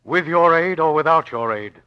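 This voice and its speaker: An actor playing a bitter, scheming twin brother in a 1940s radio drama, with resentful delivery. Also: male voice, a little high, lively intonation, normal speed, clean tone, muffled recording, close to an everyday voice. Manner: resentful, plotting.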